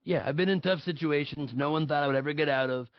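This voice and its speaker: A man's voice: a little high, quick pace, no room sound, natural voice. A man playing a boastful, blustering older male politician in a live table read of a satirical play, blunt and fond of superlatives. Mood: self-assured. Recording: dull lo-fi audio.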